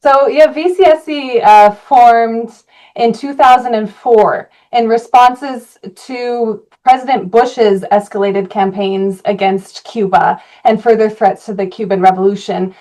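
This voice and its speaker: A woman from Colorado, an activist guest, answering interview questions earnestly, with frequent "uh" pauses. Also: fairly high pitch, fairly even intonation, a little mellow, poor audio.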